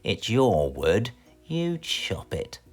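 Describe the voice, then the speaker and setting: A man's voice: on the low side, wildly swooping pitch, drawn-out words, soft background music, exaggerated voice. A British man voicing a stingy, easily flustered storybook miser with a blunt, emphatic manner. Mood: curt, grumpy. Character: grumpy, stingy male miser, easily panicked.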